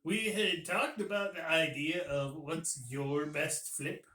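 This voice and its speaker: A man's voice: on the high side, steady tone, takes it slowly, sharp tone, echoey room. A man voicing a male puppet co-host who delivers dry, teasing one-liners in a casual vlog. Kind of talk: casual joking chat.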